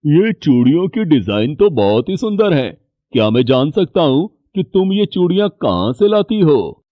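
A man voicing a kind, polite middle-aged bangle merchant in an animated story. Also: on the high side, moderate pace, no echo, lo-fi sound. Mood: kindly. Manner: polite, sympathetic.

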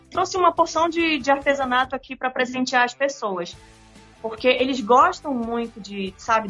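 A woman with a fairly high voice and a Manaus accent speaks in a casual interview. She is on a video call from a room, and music plays lightly behind her. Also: fairly bright tone. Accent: Manaus Brazilian Portuguese. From Manaus, Brazil. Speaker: interviewee, singer. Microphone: phone or computer on a video call.

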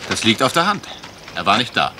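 A man's voice: lowish voice, wildly swooping pitch, brisk. A courteous, doubting investigator in a radio mystery. His mid-range voice moves expressively as he questions things dryly, with noticeable noise in the recording. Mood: skeptical. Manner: polite, questioning, dryly skeptical. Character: courteous, skeptical male amateur investigator. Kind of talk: German radio crime drama acting. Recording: clear background noise.

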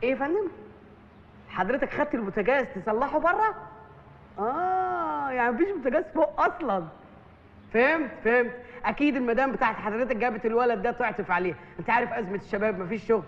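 An actor with an Egyptian Arabic accent playing a nosy, fast-talking doorman who later turns into a gruff policeman in a comedy film. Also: very high-pitched, lively intonation, muffled recording, a little hiss or hum. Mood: sly. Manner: sly, teasing, then menacing and shouting.

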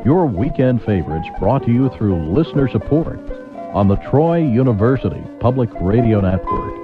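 A man's voice: mid-range voice, big pitch swings, moderate pace, phone-quality sound, over loud music. A man reading a scripted station identification as a public radio announcer.

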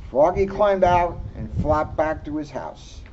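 A man's voice: fairly high pitch, animated, measured pace. A middle-aged man reading a picture book expressively to children, recorded outdoors. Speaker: police officer reading to children. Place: outdoor pavilion bench in a park. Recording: muffled outdoor recording, faint background noise.

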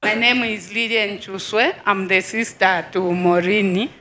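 Bright woman, echoey distant sound. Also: medium pitch, steady tone, a little slow, a little hiss or hum. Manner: earnest affirming. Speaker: congregant.

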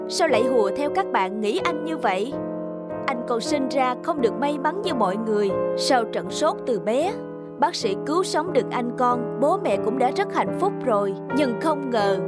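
Patient cartoon mum, tender, high-pitched, encouraging, loud music behind. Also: female voice. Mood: gentle. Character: gentle, patient young mother of two boys. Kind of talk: Vietnamese children's cartoon dubbing.

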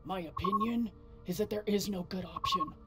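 An actress playing a hard-bitten, foul-mouthed, defiant spaceship pilot in a video game, with a blunt, sardonic way of talking. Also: female voice, mid-range voice, moderate pace, lightly acted. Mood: scornful. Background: loud music.

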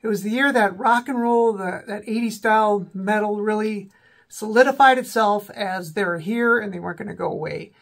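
A man in his forties with a cold and a slightly sore, raspy throat, casually talking through rock albums as host of his own channel, with many ums and self-corrections. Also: sits high, normal speed, fairly bright tone. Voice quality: raspy, voice may crack. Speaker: rock music video host. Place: small indoor room with a backdrop.

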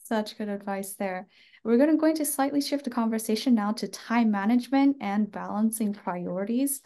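Mellow, higher-voiced woman moderating. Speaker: moderator, career advisor. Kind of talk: panel moderation. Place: indoors, on a video call. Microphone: video call microphone.

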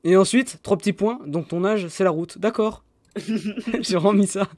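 A man's voice: high-pitched, measured pace, a little mellow, no echo. A young man streaming a game, chatting casually with friends and laughing often. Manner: chatty, laughs often, scrolls through old messages. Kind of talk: casual voice chat while gaming.

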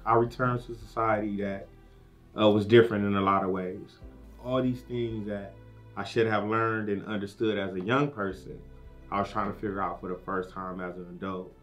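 A man gives a reflective documentary interview, pausing as he searches for words. His voice sits a bit low. Faint music plays behind him. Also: flat delivery.

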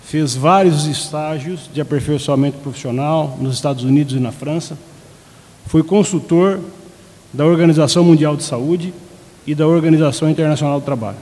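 A man's voice: a little high, fairly quick, mellow. A man in his fifties with a Brazilian accent reads a formal introduction as panel moderator. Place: large conference auditorium. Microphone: table stand microphone. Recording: echoey hall.

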